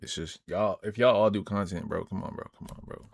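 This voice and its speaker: A man with a lowish voice gives casual reaction commentary as a streamer. His talk is full of slang and aimed at his chat. The sound is very dry, with hardly any room on it. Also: fairly quick, creaky, no echo.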